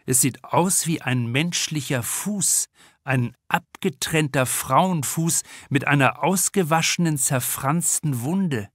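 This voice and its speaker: A man narrating a comic crime novel with deliberate, expressive storytelling in a studio. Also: fairly high pitch, dry recording. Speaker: author reading his audiobook.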